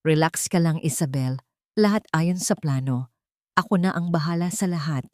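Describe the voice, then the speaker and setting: Smug low-voiced male swindler voiced by a woman. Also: moderate pace, darkish tone, no room sound, studio quality, close to an everyday voice. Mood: smug, calm. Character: smug, calm male accomplice, a scheming swindler.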